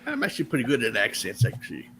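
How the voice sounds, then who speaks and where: A man's voice: mid-range voice, wildly swooping pitch, background noise. A man hosting a casual podcast, rambling and joking in profane banter. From Texas. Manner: rambling, joking, quick to laugh. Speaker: podcast host.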